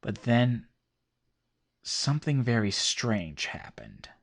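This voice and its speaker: A man giving measured, documentary-style scripted narration between witness accounts. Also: medium pitch, huge pitch swings, vocal fry.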